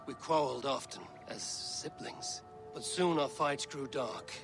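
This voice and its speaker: A man speaking in a solemn, measured way as a video game hero recounting visions. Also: medium pitch. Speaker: protagonist. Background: game music.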